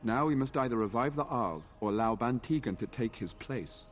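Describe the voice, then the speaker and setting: An actor playing a grave, dutiful, formal knight in a fantasy video game. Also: male voice, mid-range voice, expressive, a little fast, faint music behind, natural voice.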